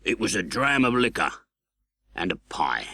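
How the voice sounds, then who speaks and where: A man voicing a hardened, scornful English convict in an audiobook, with slow, grudging, uneducated speech. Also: fairly low pitch, very animated, pushed and bright, no room sound, studio quality, full character voice. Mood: sullen, grudging.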